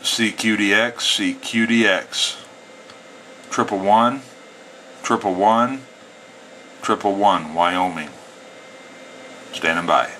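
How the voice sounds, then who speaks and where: A man's voice: fairly low pitch, takes it slowly, long pauses, sharp tone, a little hiss or hum. An American man with a folksy, plain-spoken way, talking casually as a CB radio hobbyist. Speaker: amateur CB radio operator. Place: indoors at a home radio setup.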